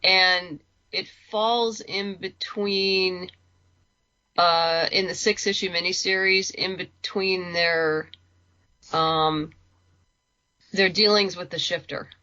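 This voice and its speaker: Bright-voiced woman on lo-fi audio. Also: on the low side, fairly even intonation, slow pace, long pauses. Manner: chatty, informal, thinks aloud. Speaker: interview guest, writer and creator. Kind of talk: casual conversational interview.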